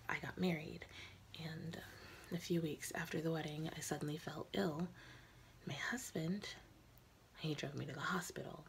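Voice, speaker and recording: Woman acting a hushed, sorrowful immortal young woman. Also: low-pitched, gravelly, slight background noise, pitched below the performer's own voice. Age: young adult. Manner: halting, intimate confession with long pauses. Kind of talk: dramatic audition monologue.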